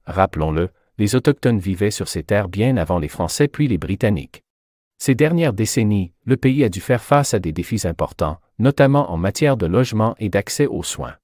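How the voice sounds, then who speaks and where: A man acts a measured journalist answering as an expert in a scripted interview. His low voice has very varied intonation and explains point by point. The recording is dry. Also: darkish tone, no room sound. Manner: articulate, explanatory.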